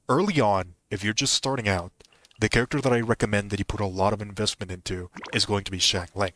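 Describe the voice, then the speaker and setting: Low-voiced man giving game advice. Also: bright, forceful tone. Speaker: game streamer. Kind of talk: casual game commentary and advice.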